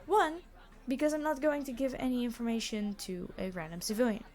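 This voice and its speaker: A woman's voice: a little high, fairly quick, darkish tone, natural voice. A woman playing a reluctantly decent supervillain in an audio roleplay, speaking in a dry, formal, bossy, matter-of-fact way. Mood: dry, reassuring. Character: famous immortal supervillain, exasperated, reluctantly decent. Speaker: robbery leader.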